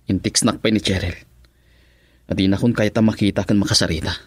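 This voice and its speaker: A man's voice: lowish voice, fairly even intonation, normal speed, husky, dry recording. An actor playing a sheepish, lovelorn young man in a radio drama, hesitant, with an Ilocano Filipino accent. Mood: dejected. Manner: hesitant, chuckling between phrases.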